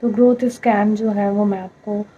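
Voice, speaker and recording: A young Indian woman vlogging to camera, hoarse from a throat infection, speaking in a tired, plaintive way and mixing in English words. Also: mid-range voice, fairly even intonation, moderate pace, dark tone, lo-fi sound. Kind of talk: casual talk to camera.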